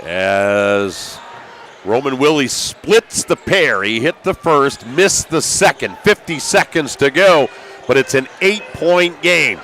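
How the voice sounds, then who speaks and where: An American man doing live basketball play-by-play as a broadcaster, excitable and raising his voice with the action. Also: mid-range voice, slow. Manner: excitable, calls out action with raised voice. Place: courtside in high school gymnasium. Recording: live gym broadcast with background noise.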